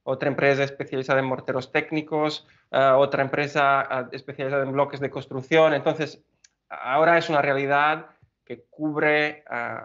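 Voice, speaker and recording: Halting Italian-accented man. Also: mid-range voice, a bit bright, dull audio. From Italy. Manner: halting, many fillers and restarts. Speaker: guest engineer. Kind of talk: podcast interview.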